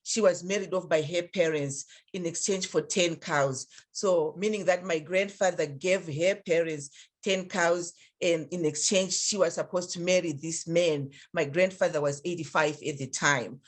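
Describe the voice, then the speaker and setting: A middle-aged woman with a Zimbabwean accent, a guest in an interview, telling a personal story in an earnest, reflective, unhurried way. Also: fairly low pitch, steady tone. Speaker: interview guest, nonprofit founder.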